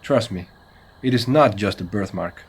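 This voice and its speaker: A man's voice: lowish voice, moderate pace, slightly rough. A man playing a serious, cautious monster hunter with a Spanish accent, speaking in earnest, formal phrases with Spanish interjections in a comedy horror audio drama. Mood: grave, explanatory.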